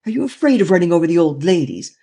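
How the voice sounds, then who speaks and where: A reader voicing a daring, commanding gentleman thief who speaks urgently and forcefully in an audiobook. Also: medium pitch, very animated, fast, slightly rough. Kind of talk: audiobook reading.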